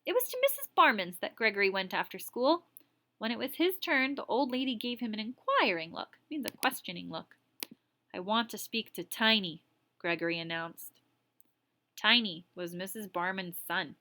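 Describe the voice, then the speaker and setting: A woman with a bright, forward voice reads a story aloud as a teacher would. Her narration is expressive at a middle pitch, and she slips into casual asides to explain things. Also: moderate pace. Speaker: teacher reading aloud to students.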